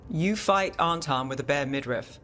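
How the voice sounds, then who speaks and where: An actor playing a self-assured, teasing fantasy mage in a video game, unruffled and probing. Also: male voice, a little high, normal speed, a bit bright, soft background music, natural voice. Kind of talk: video game cutscene acting.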